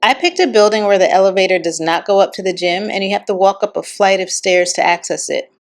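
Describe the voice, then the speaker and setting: A woman with a slightly raspy voice talking candidly and chattily in a casual personal vlog. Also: mid-range voice, fast. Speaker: vlogger.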